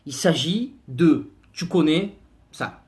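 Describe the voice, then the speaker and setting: A man's voice: on the high side, animated, takes it slowly, over loud music. Exasperated ranting man. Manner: slangy, exasperated, mock-outraged. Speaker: comic vlogger. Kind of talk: comic rant to camera.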